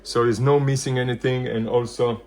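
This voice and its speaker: A man presenting a casual hands-on product demonstration, thinking aloud in loose, halting phrases. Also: medium pitch, little pitch movement, dark tone. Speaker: vintage hi-fi video presenter.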